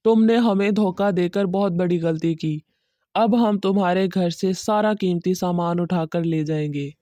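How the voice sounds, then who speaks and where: Woman doing a greedy bandit guy from a cartoon, bossy threats, mellow dry sound. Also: lowish voice, a little slow, no echo, voice pushed down, close to an everyday voice. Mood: angry threats. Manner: bossy, menacing.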